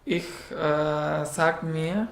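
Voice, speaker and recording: A man from Afghanistan with an Afghan accent, whose first language is Pashto, answering interview questions in a halting way with many fillers. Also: on the high side, fairly even intonation, vocal fry, reverberant, a little hiss or hum. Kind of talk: casual interview.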